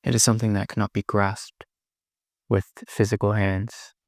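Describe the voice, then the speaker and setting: A man leading a guided meditation in a soothing manner, pausing often between short phrases. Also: on the low side, level tone, no room sound, studio quality. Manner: slow, pausing, soothing delivery.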